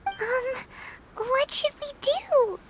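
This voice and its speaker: A woman voicing a tiny, childlike, chirpy fairy companion in a video game, with a squeaky voice and an expressive, chatty manner. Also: very slow, noisy background, heavily acted. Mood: uneasy, worried.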